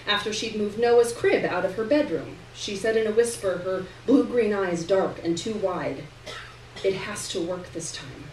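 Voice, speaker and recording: A woman giving an expressive first-person reading of a novel chapter at a live event, with dramatic pauses and shifting emphasis. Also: mid-range voice. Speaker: reader performing a novel chapter to an audience. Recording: roomy, distant mic, faint noise.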